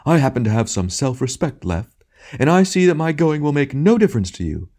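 Pompous ghost tragedian for a storybook, way over the top, wounded and bullying, voice pushed high and loud. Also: male voice, big pitch swings, a little fast. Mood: wounded self-pity. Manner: declamatory, melodramatic, bombastic. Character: bullying theatrical tragedian phantom, grandiose wounded self-pity. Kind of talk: dramatic audiobook character acting.

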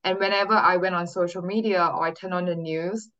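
A young woman speaks as a guest author in an interview. Her voice sits a little low, and she tells personal stories in a candid, conversational way. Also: steady tone, fairly quick, a bit bright, dull audio. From Singapore. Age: 20s.

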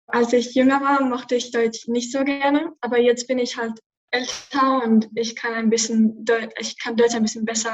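A woman's voice: a little high, distant mic, highs cut off. Hesitant teen girl with Spanish accent. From Spain. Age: teens. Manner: hesitant, many fillers. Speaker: student podcaster. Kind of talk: casual chat.

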